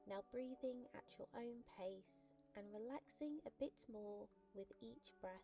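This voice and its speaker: A woman narrating a guided sleep meditation in a calm, gentle, unhurried and soothing manner. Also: fairly high pitch. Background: soft music.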